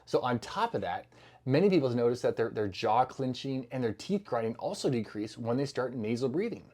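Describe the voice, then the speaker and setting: A man's voice: medium pitch, big pitch swings, a little fast, dark tone. A middle-aged man giving a loose, conversational health talk to camera, full of fillers and restarts, with the easy manner of a clinician speaking to patients. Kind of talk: casual educational talk to camera.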